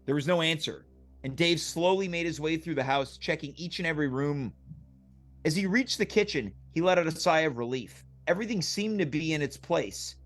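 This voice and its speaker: A man's voice: a little high, moderate pace. A man narrating stage directions and action from a movie script at a comedic table read.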